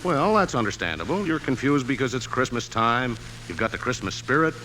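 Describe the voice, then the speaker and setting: An actor playing a chatty, knowing department store clerk in old radio comedy style. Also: male voice, medium pitch, sing-song, fairly quick, bright, forceful tone. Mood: wry, knowing. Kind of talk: 1950s radio comedy acting. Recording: noisy old radio show recording.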